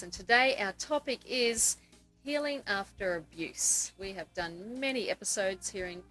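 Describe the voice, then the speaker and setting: A woman's voice: mid-range voice, fairly bright tone, rough recording. A woman hosting a podcast, interviewing guests in a conversational way. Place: couch in a room in Nakasi.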